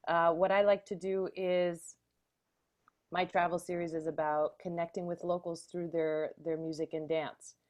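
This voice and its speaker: A woman's voice: lowish voice, little pitch movement, moderate pace. Upbeat American woman on a video call. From United States. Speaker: guest, travel show host. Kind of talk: casual video call chat.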